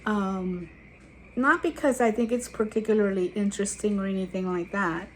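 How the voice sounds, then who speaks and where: A woman's voice: medium pitch, normal speed, slight background noise, poor audio. A woman in her seventies, originally from Guatemala, chatting casually to her channel viewers as she tells her life story with digressive asides. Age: 70s. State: sweaty after a walk. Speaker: YouTube channel host. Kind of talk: casual personal storytelling to camera.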